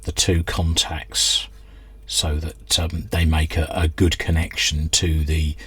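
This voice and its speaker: A man's voice: rumbling low, monotone, slow, fairly bright tone, husky. A man giving casual hands-on workbench commentary, hesitantly thinking aloud as he works. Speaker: host of a radio restoration channel.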